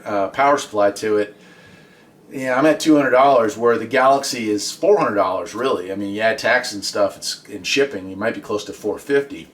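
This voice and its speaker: A man's voice: medium pitch, fairly bright tone. A man hosting a casual product review vlog, talking in an informal, conversational and opinionated way. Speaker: host, radio hobbyist reviewer. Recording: voice distant from the mic.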